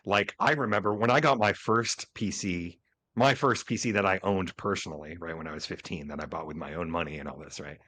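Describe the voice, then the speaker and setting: A man's voice: deep voice, a little fast, pushed and bright, a little hiss or hum. A man in his forties hosting a podcast interview over a video call, chatting casually and tagging his sentences with "right?". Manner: keeps adding "right?" as a tag. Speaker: podcast host.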